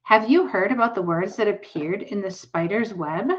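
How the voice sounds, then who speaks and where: A fussy, anxious farm mother from a storybook, read aloud by a woman. She sounds puzzled and insistent, at a medium pitch. The sound is a little dull and lo-fi. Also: moderate pace, lightly acted. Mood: worried.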